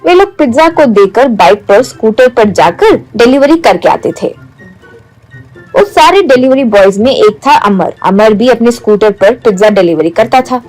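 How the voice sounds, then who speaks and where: A woman's voice: a little high, lively intonation, moderate pace, a little mellow, poor audio. A woman reading scripted story narration. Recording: music bed under the voice.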